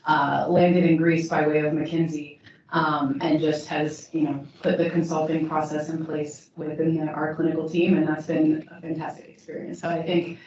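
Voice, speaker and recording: A woman founder answering a panel question in a steady, measured way, with frequent restarts. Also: deep voice. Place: event hall. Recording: distant mic in echoey hall, dull sound.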